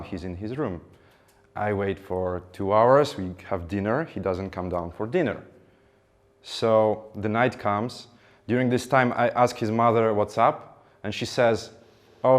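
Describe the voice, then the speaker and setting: A man's voice: lowish voice, a little slow. Man telling a motivational story. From Ukraine. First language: Ukrainian. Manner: animated, acts out dialogue. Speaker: speaker.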